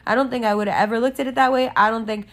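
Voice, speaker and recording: A woman's voice: fairly high pitch, fairly even intonation, very fast. A woman giving a candid personal monologue in a blunt, emphatic way, with frequent swearing. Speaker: podcast and channel host.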